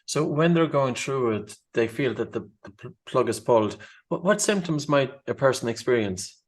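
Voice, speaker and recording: A man's voice: medium pitch, animated, moderate pace. A man hosting a podcast, asking short clarifying questions of his guest. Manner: brief questions and backchannels. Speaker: podcast host. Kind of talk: podcast interview.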